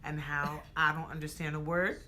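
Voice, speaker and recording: This American woman talks in a low, bright voice in relaxed conversation. She speaks with emphasis and acts out what other people say. Faint noise hums behind her. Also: normal speed. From United States. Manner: animated, emphatic, rising to excited peaks; acts out quoted speech. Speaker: podcast co-host. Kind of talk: casual conversation.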